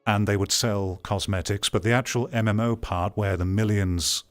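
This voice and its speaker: Male commentator, low-pitched, blunt and exasperated, casual delivery, music bed underneath. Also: steady tone, moderate pace. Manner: blunt, exasperated, repeats phrases for emphasis. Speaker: host giving commentary on game news.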